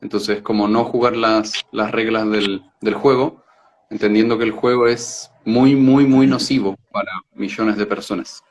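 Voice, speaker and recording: A man's voice: lowish voice, fairly even intonation, unhurried, slight background noise. A man from Israel speaking as an interview guest, explaining things reflectively and hesitantly, searching for words and repeating them.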